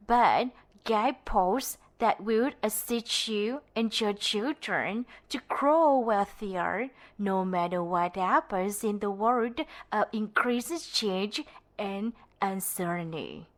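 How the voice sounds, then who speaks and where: A woman reading a book aloud with careful, deliberate pronunciation, like an amateur narrator practicing. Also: medium pitch, animated. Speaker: amateur reader on own channel.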